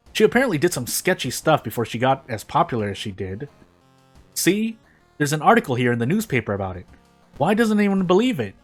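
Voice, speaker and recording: Envious, scornful hater, played by a male reader, incredulous griping, storybook drama over music. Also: on the high side. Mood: disgusted scorn. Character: bitter, envious idol hater, scornful and suspicious. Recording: music behind the voice.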